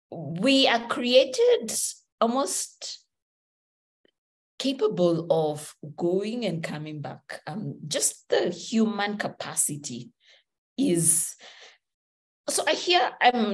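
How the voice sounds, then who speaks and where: A Kenyan woman with a slightly low voice gives a motivational talk over a video call. She speaks with animated energy, moving her hands as she explains. The sound is the plain sound of a laptop video call from a room at home. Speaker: webinar speaker.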